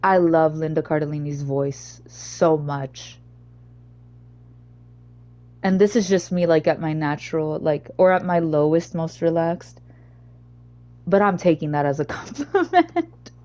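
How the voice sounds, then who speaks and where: A young woman streamer with a naturally low, slightly hoarse voice, chatting casually and rambling between topics. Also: a little slow, long pauses, mellow. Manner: rambling, chatty, easily sidetracked. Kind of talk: casual gaming stream chat.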